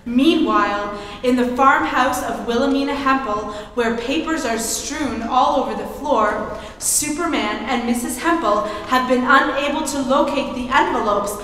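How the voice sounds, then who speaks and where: A woman's voice: high-pitched, a little slow, a bit bright. A woman giving dramatic scripted narration in the manner of an old-time radio play. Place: theatre stage, live performance. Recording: very echoey theatre hall, faint noise.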